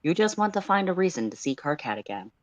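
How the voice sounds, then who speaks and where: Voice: mid-range voice, sing-song, quick pace. A person of unclear sex narrating fan fiction and chatting casually as a stream co-host.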